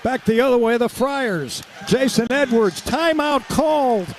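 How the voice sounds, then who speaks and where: A man doing live radio basketball play-by-play, excitable and breaking into shouts on big plays. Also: very high-pitched, measured pace, slight background noise. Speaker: play-by-play sports commentator.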